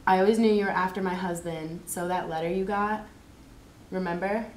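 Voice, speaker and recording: A woman's voice: lowish voice, fairly even intonation, moderate pace, lightly acted. A woman playing a bitter, jealous society wife confessing in an amateur dramatization. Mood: accusing. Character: bitter, jealous society wife, long-time false friend. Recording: echoey room, faint background noise.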